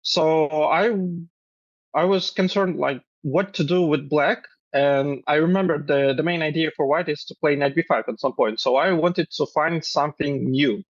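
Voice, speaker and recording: A man's voice: a little high, normal speed, dry recording, lo-fi sound. A man in his twenties from Belarus, a chess grandmaster and coach, answering interview questions with many fillers. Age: 20s. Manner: hesitant, many fillers, laughs lightly.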